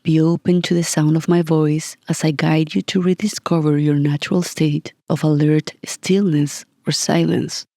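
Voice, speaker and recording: A meditation guide reading a scripted session in a calm, gentle, soothing manner with long pauses. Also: medium pitch, no echo.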